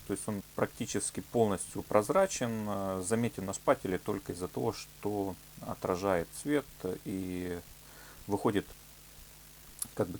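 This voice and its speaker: A man presenting an informal hands-on craft tutorial, explaining step by step with hesitant phrasing and filler words. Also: on the low side. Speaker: leathercraft workshop tutorial presenter. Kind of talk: informal hands-on product demonstration. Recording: clear background noise with hum.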